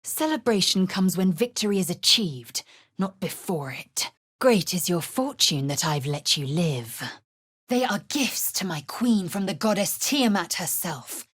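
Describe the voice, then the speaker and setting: A woman voicing a proud, harsh, disdainful female alien warrior in a video game, speaking in a clipped, formal, imperious way with a husky rasp. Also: fairly low pitch, sing-song, unhurried, fairly bright tone, heavily acted. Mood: haughty. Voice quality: husky, raspy. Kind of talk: video game character voice lines.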